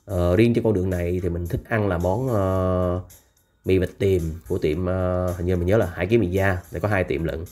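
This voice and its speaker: A deep-voiced man talks casually, full of fillers and running lists of places. He sounds like a travel vlogger narrating from a motorbike on a night street, with faint music playing behind him. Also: fairly even intonation, normal speed, warm tone. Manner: chatty, hesitant with frequent fillers, lists places. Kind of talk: casual narration while riding through city streets. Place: riding a motorbike on a busy city street at night.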